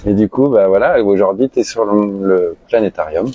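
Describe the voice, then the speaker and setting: A man's voice: fairly low pitch, moderate pace. A man with a northern French accent, interviewing a guest in a casual, chatty way with many fillers. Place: busy tattoo convention hall. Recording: dull sound with crowd behind.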